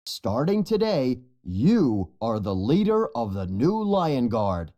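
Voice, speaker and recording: A storybook narrator voicing an authoritative adult lion king and father in a serious, measured way. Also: medium pitch, very animated, dark tone, highs cut off. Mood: proud, stirring.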